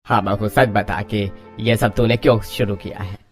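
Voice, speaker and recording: A man's voice: lowish voice, fairly even intonation, normal speed, soft background music, natural voice. A man playing a kindly older father-in-law in a family story, homely and obliging. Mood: worried.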